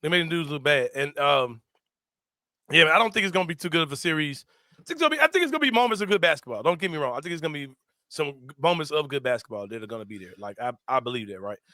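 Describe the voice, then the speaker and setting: Young man, sports host: bright, forceful voice, slangy and animated, recorded dry. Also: a little high, fast, no echo. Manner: animated, slangy, laughs a lot. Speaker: sports talk show host. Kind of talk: casual sports chat.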